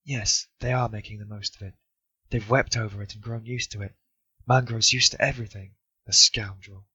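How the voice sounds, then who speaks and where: A man's voice: lowish voice, steady tone, normal speed, sharp tone, breathy edge, no room sound, exaggerated voice. A man voicing a bitter, brooding young man muttering sardonic thoughts to himself. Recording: dull, dry recording.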